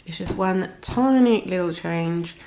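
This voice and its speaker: A woman's voice: fairly low pitch, a little slow, dark tone, slight background noise, low-quality recording. A woman with a cold and a snuffly nose, chatting informally through a step-by-step craft tutorial. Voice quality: snuffly, slightly stuffed-up nose. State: has a cold. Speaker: crochet tutorial host.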